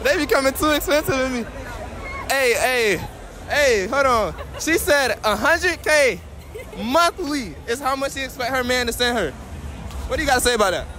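A man's voice: very high-pitched, animated, normal speed, sharp tone, a faint crowd. A young man interviewing strangers on a busy street at night, hyped and playful, often repeating himself. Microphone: handheld mic. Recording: crowded street noise.

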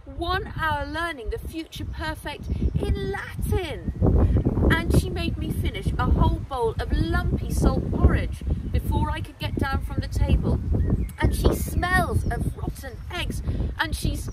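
A woman's voice: high-pitched, a little slow, pitched above the performer's own voice. A woman voicing an aggrieved young schoolboy in a storybook, complaining with heavy emphasis. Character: indignant, complaining schoolboy, posh and put-upon. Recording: distant mic, noisy outdoor ambience.

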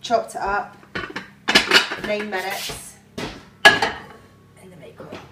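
A woman's voice: mid-range voice, level tone, slow, very noisy. A woman, chatting casually into a phone held at arm's length for a holiday vlog, rambling with lots of fillers. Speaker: vlogger.

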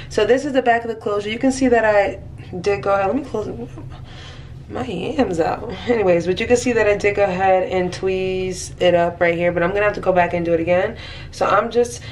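A woman's voice: lowish voice, slight background noise. A woman in her thirties chatting casually to her viewers in a rambling way, sounding stuffy and nasal from allergies, recorded at home. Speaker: vlogger. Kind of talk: casual vlog chat to viewers. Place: small apartment kitchen, indoors.

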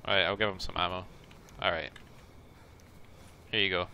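Scottish man with a bright voice. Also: low-pitched, fairly even intonation, lo-fi sound, a little hiss or hum. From Scotland. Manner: casual, calls out with quick bursts. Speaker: gamer. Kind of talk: live game chat.

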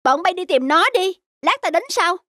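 A woman's voice: very high-pitched, expressive, normal speed, fairly bright tone, no room sound, clean studio sound. A woman voicing an arrogant young male village scholar in a cartoon, smug and taunting, over background music. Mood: scheming. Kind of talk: cartoon dubbing.

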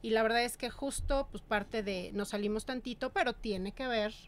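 A woman with a Mexican accent hosting a radio interview in a small studio, chatty and quick. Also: on the high side, a little hiss or hum. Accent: Mexican Spanish. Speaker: host. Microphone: desk mic on stand.

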